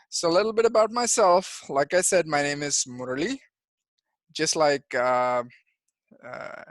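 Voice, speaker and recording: A man in his thirties from India, an engineer giving a career-day talk to children over a video call, explaining things simply with frequent pauses and restarts. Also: on the high side, a bit bright, dry recording. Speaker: guest engineer and project manager. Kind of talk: presentation for young children.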